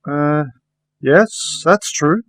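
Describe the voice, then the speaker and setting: A man playing a weary, wry private investigator who narrates a noir radio play in deadpan style. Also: on the high side, drawn-out words, exaggerated voice. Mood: hesitant. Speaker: narrator and protagonist.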